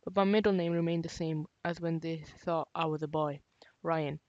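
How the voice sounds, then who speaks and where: A woman's voice: sits low, fairly even intonation, moderate pace, a little mellow, dry recording. A woman narrating Reddit stories aloud with casual, wry asides. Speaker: story narrator. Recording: dull, dry sound.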